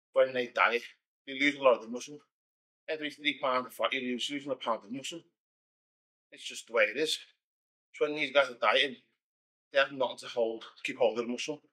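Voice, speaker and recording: A Scouse man talks straight to his viewers in a loose Q&A. His voice is bright and forceful, and he speaks bluntly with plenty of "you know"s and restarts. His pitch sits mid-range. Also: steady tone, fairly quick, pauses often, rough recording. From Liverpool, England. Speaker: pro bodybuilder hosting a Q&A. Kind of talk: casual monologue Q&A.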